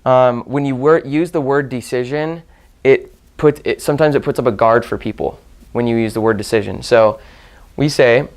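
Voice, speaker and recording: A man's voice: medium pitch, moderate pace. A man giving instructional talk as a sales trainer, repeating and rephrasing points for emphasis and demonstrating phone-call lines.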